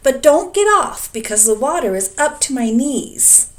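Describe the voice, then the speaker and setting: A woman voicing a weary, gentle young male dragon, talking high and strained. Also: sing-song, unhurried. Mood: relieved.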